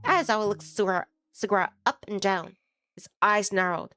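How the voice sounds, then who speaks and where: A woman's voice: medium pitch, a little fast, bright, forceful tone. A woman streamer casually narrating a visual novel as she reads it aloud. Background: music.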